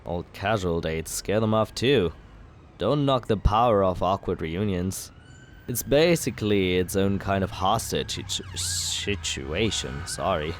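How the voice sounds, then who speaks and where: Deep-voiced man in villain ASMR roleplay. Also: animated, measured pace, slight background noise. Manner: smooth, teasing and persuasive.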